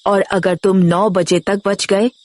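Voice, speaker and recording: A woman playing a grave, fearful village grandmother giving dire warnings in an animated horror story. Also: mid-range voice, slow, close to an everyday voice. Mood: grave, alarmed.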